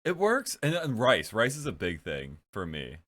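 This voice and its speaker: Giggly stuffy-nosed young man, low voice. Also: huge pitch swings, moderate pace, fairly bright tone. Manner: giggly, rambling, many fillers. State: stuffed up from allergies. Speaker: podcast host. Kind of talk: casual chat.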